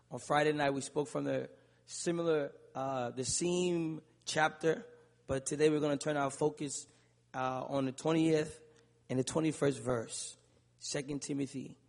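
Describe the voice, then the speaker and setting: A man from Liberia preaching a sermon in a large church, calling to the congregation and rising into shouts. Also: fairly high pitch, creaky. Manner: rhythmic call-and-response preaching, building to shouts. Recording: long church reverb.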